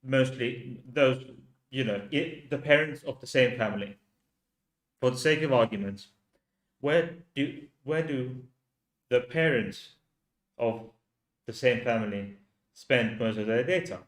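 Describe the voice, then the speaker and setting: A man from Finland with a Finnish accent, a native Finnish speaker, hosting a livestream and talking in slow, halting rhetorical questions to his viewers. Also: medium pitch, echoey room. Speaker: channel host. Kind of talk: livestream commentary reading chat.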